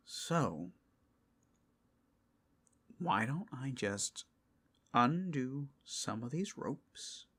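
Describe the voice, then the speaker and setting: A man playing an obsessive, lovesick captor in an intimate roleplay ASMR, halting and often whispering, both tender and unsettlingly intense. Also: medium pitch, full character voice. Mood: coaxing. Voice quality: breathy, husky, often whispering. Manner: halting, swinging from hushed tenderness to giddy intensity. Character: obsessive, lovesick male captor, creepy and doting.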